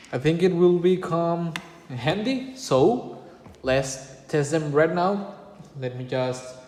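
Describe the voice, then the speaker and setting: A man talks slowly through a casual product review in halting phrases. His voice rings with long reverb in an echoey room, over faint background noise. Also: mid-range voice, a little mellow.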